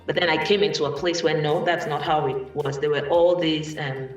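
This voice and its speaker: A speaker from Cameroon, telling a personal story at a panel event in a thoughtful way with many pauses and restarts. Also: medium pitch, steady tone. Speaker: guest speaker, musician. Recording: echoey room from far off, faint music.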